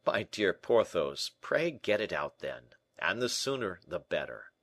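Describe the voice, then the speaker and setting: A man voicing a shrewd, brisk gentleman in an audiobook, animated, questioning and a little impatient. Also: deep voice, dry recording, heavily acted. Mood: teasing concern. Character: D'Artagnan, brisk, shrewd, exclaiming gentleman friend. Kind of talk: audiobook character acting.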